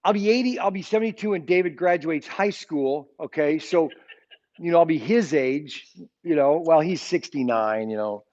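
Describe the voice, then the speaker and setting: A man's voice: fairly high pitch, a little mellow, highs cut off. A man in his sixties teaching an informal Sunday school Bible lesson, rambling and often checking in with his listeners. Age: 60s. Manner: rambling, keeps checking in with "okay?".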